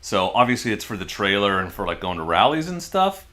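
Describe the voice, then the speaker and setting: A man's voice: lowish voice, very animated, fairly quick, bright, forceful tone. A man in his forties hosting a casual livestream from his home garage, chatting and answering viewer comments as he reads them out on a desk microphone. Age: 40s. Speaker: livestream host.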